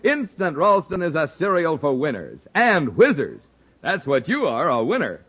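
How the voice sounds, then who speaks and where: A male announcer delivers scripted copy in vintage radio style. His voice is fairly high and very animated, with emphatic punch, heard through a dulled old recording. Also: normal speed, breathy edge, muffled recording. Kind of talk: mid-century radio announcing, scripted ad and narration.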